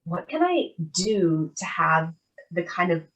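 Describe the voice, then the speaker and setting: Low-voiced earnest woman in her thirties. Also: sing-song, slow pace, fairly bright tone. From Canada. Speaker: guest author. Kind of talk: casual interview chat.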